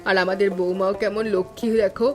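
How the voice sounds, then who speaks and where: A woman voicing an affectionate, fretting middle-aged mother and mother-in-law in an animated village drama. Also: medium pitch, quick pace, faint music behind, natural voice. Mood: grateful. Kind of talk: animated family drama voice acting.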